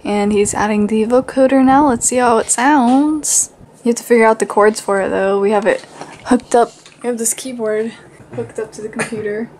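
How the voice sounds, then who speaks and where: Higher-voiced woman chatting on a vlog. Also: fairly even intonation, moderate pace, a little mellow, a little hiss or hum. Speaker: daily vlogger. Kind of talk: casual vlog chat.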